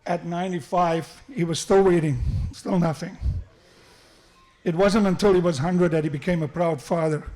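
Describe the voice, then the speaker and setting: A man's voice: on the high side, steady tone, moderate pace, darkish tone. South African father giving a wedding toast. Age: middle-aged. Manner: joking, self-deprecating, with frequent restarts. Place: wedding reception hall. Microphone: handheld microphone through the sound system.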